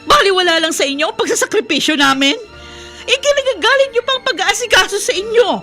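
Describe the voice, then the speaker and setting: Devoted, exasperated Filipino daughter for radio drama: high, bright voice, pleading and emotional. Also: female voice, animated, fast, soft background music, full character voice. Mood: tearful, exasperated. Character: devoted, exasperated grown daughter caring for father.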